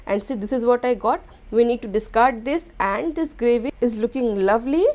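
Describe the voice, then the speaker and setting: A woman's voice: a little high, moderate pace. Woman explaining a recipe. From India. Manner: step-by-step instructional, gentle, with small restarts. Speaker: cooking channel host. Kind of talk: cooking demonstration voice-over.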